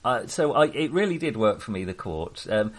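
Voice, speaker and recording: A man's voice: lowish voice, very animated, normal speed. A man hosting a casual film discussion, speaking hesitantly and often correcting himself as he digresses. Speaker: podcast host.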